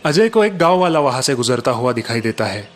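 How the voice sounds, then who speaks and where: A man's voice: mid-range voice, sing-song, a little fast. A man narrating a scripted story as its storyteller.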